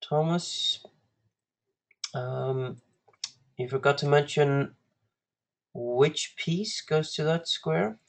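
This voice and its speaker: Slow, halting Icelandic man coaching. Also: fairly high pitch, vocal fry. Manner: halting, deliberate, with long pauses. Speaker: guest chess coach. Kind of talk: live online lesson, talking through puzzles to students.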